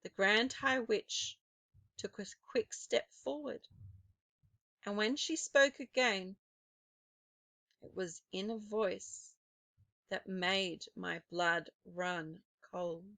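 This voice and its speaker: A woman narrating a children's novel aloud in a deliberate, expressive, dramatic storytelling style. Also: mid-range voice, vocal fry, no echo. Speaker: storybook reader.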